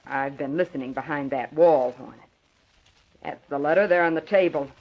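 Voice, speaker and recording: A woman's voice: sits low, moderate pace. A woman acting a ruthless, scheming gangster boss in 1940s radio drama, hard-boiled, boastful and taunting. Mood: boastful, scornful. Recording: muffled old 1940s radio recording.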